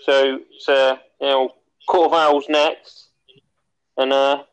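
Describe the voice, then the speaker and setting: A man's voice: medium pitch, level tone, slow pace, smooth, low-quality recording. A man co-hosting a podcast in casual comic banter. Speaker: podcast co-host.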